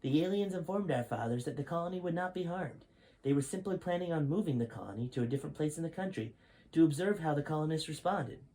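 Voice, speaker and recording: A man's voice: on the high side, lively intonation, quick pace, dark tone, pitched above the performer's own voice, poor audio. A man reading the part of a stern, grave male five-star general who lectures in a serious tone. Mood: lecturing.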